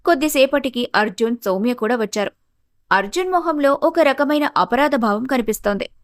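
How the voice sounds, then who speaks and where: High-pitched woman narrating a story, dry sound. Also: expressive, fairly quick, no room sound.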